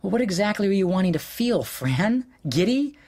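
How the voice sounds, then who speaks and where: An actor playing an exasperated, sarcastic husband in an audio drama, hurt and profane in a late-night argument. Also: male voice, high-pitched, big pitch swings, moderate pace, a little mellow, heavily acted. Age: 40s.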